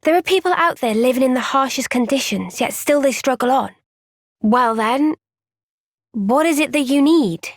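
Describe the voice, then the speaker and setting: A woman playing an earnest, gentle young soldier heroine in a fantasy video game, soft-spoken, sincere and thoughtful. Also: high voice, animated, moderate pace, no echo, studio quality. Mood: calm, thoughtful. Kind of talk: video game cutscene voice acting.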